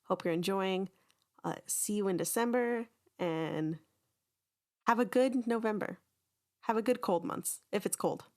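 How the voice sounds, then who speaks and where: Woman, medium pitch, podcast host, casual announcements, informal, self-deprecating, chatty. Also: a little slow.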